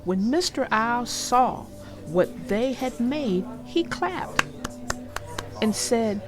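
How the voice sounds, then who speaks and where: A woman narrating a read-aloud story for young children with deliberate, emphatic phrasing. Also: a little high, lively intonation, music in the background.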